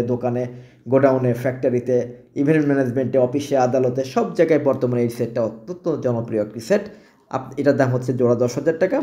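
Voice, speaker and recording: A man with a Bangladeshi accent presenting products in an informal sales pitch, often repeating and restating his phrases. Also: mellow. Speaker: shop presenter selling products.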